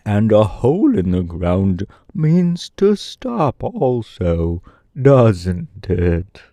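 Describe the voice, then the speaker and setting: A man voicing a humble, simple-minded wooden sawhorse come to life, talking in a slow, wondering drawl. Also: on the low side, wildly swooping pitch, mellow, no echo, full character voice.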